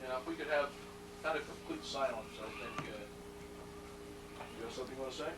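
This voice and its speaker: A middle-aged man presents at an induction ceremony, genial and fond of joking asides as he reads out a plaque. His voice sits at an average pitch. He is heard from a distance, with clear background noise from the room. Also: normal speed. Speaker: presenter at a hall of fame induction. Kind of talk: ceremony speech. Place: ceremony hall. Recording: distant mic, noisy room.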